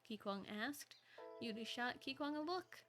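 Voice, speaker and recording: A woman streamer reading a story aloud, expressive and playful, with chatty asides. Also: fairly high pitch, a little slow, music in the background.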